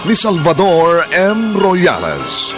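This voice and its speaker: A man's voice: sits high, wildly swooping pitch. Male announcer for radio soap intros, solemn and florid, sweeping intonation over theme music. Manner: grandiose, solemn, drawn-out flourishes. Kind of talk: dramatic scripted radio narration. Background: clear theme music.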